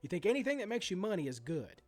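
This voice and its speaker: A man's voice: high-pitched, sing-song, fast, soft background music. A middle-aged man with a sore throat and stuffy nose, ranting indignantly as host of a sports card channel. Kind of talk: ranting commentary. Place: home room.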